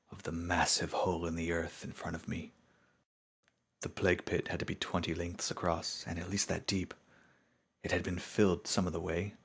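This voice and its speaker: Man, very low voice, heavy rasp, solemn dramatic story narration, measured delivery. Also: a bit bright.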